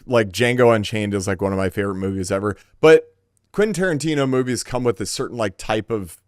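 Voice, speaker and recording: A man's voice: fairly low pitch, fast talker, clean tone, dry recording, studio quality. A man co-hosting a casual gaming podcast, talking with enthusiasm and wordy phrasing. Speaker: podcast co-host.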